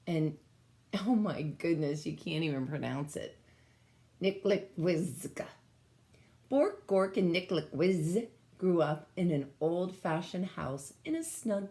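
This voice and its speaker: Slow, expressive woman reading to children. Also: fairly low pitch. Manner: expressive, explanatory, addressing young children. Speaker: teacher reading aloud. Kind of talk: picture-book read-aloud with questions to children. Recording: echoey room sound.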